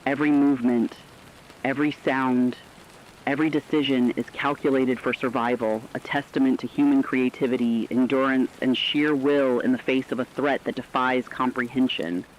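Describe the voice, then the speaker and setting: A man playing a serious radio news anchor, reading a scripted emergency bulletin with deliberate, emphatic phrasing. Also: mid-range voice, flat delivery, a little hiss or hum, close to an everyday voice, rough recording. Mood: stern, foreboding. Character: stern, urgent radio news anchor reporting an apocalypse. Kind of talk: scripted emergency broadcast narration.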